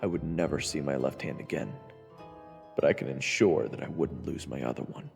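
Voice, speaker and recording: A man's voice: very deep voice, moderate pace, gravelly, in a large hall, faint music behind. A man narrating a scripted first-person fantasy story for an audio roleplay.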